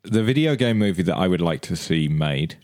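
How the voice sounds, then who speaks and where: A man with a low voice talks casually as a podcast panellist. He explains his points in a halting, deliberate way, and the recording is dry and close. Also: animated, darkish tone, no room sound.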